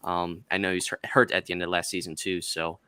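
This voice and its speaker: Deep flat-voiced man, bright clear tone. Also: brisk, no echo. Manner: hesitant, many fillers. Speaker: podcast panelist. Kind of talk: casual football discussion.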